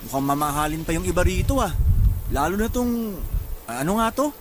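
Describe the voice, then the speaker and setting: An easygoing working-class husband in a radio drama. His voice is very high for a man and swings widely in pitch, with loud noise behind it. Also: lively intonation, a little fast. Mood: impressed, curious. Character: easygoing, impressed husband, a simple working father.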